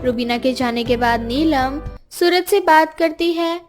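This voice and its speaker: A woman's voice: high voice, a little slow, faint music behind. A woman narrating a scripted moral story as a storyteller.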